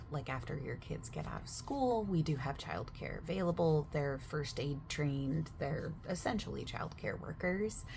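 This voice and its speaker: A woman speaking softly in an ASMR roleplay as a gym staff member, chatty and overexplaining, often dropping into a whisper partway through a sentence. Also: low voice, a little hiss or hum. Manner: soft-spoken, slipping into whispers mid-sentence. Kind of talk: soft-spoken ASMR sales roleplay.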